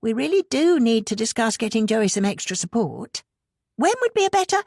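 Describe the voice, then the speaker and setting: A woman's voice: a little high, big pitch swings, a little fast, a little mellow, no room sound, clean studio sound, pitched above the performer's own voice. A woman voicing an earnest, worried female schoolteacher in an audiobook, polite but insistent.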